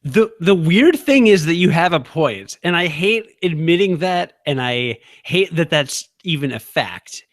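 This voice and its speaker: A man's voice: a little high, animated, measured pace, hardly any pauses. A young man co-hosting a podcast, teasing and sarcastic in casual banter, often laughing. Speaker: podcast co-host.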